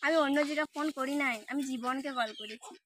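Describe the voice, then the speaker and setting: A woman's voice: high voice, fast talker, background noise. A woman, nagging and scolding insistently in a casual prank phone call. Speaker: prank caller posing as the wife of the person called.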